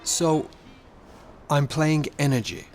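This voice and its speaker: A man's voice: a little high, takes it slowly, darkish tone, faint music behind. A man acting in an audio drama as a loyal, good-humoured member of a 1940s touring theatre company, earnest and companionable.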